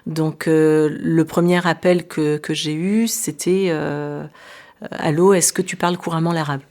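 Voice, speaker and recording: A woman's voice: sits low, fairly even intonation, measured pace, darkish tone. A middle-aged woman born in France, with Arabic as her first language, speaking as a local elected official in a radio interview, hesitant with many fillers and restarts. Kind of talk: radio interview, recounting events.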